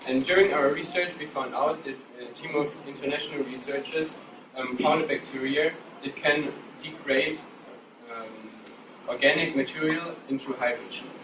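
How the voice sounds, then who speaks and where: A young man from Germany pitches an idea with upbeat energy. He sounds distant and echoey, with noise in the background. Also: normal speed. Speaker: student presenter. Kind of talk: presentation.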